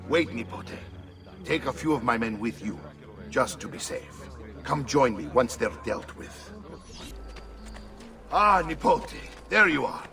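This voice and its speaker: Game role: gruff mercenary uncle, Italian accent, forceful gravelly voice, shrewd and in charge. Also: male voice, medium pitch, lively intonation, measured pace, long pauses, exaggerated voice. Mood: calm command. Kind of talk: video game cutscene acting. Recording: slightly distant, faint music behind.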